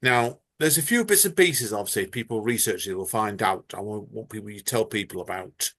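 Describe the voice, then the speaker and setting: A man's voice: lowish voice, a little fast, a bit bright. A man hosting a podcast chat, talking in a chatty, rambling, enthusiastic way. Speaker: podcast host. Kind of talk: casual chat.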